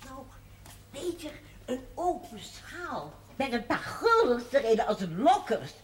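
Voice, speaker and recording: A woman's voice: high-pitched, sing-song, slow pace, heavily acted. An actress playing a pious, teasing, plain-spoken village woman in a naturalistic television period drama. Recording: 1970s TV audio with faint noise.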